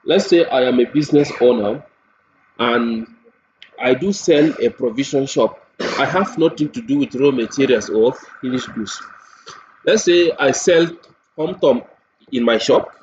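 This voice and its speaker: A man with a Nigerian accent giving a software tutorial walkthrough, explaining step by step and repeating points with everyday examples. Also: mid-range voice, unhurried. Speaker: software demonstrator. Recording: dull lo-fi mic with faint noise.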